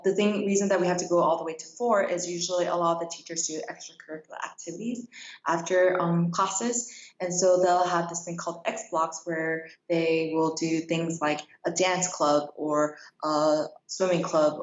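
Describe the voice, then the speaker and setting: A woman's voice: fairly low pitch, steady tone, normal speed. A young adult woman from South Korea who grew up in Kenya, chatting casually as an interview guest and peppering her answers with "like". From South Korea, raised in Kenya. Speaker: interview guest, middle school teacher. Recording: roomy, dull lo-fi sound.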